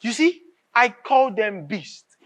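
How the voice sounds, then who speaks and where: A woman acting an earnest political thinker and confidante in a live stage play, speaking deliberately and emphatically. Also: fairly high pitch, very animated, heavily acted. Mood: indignant.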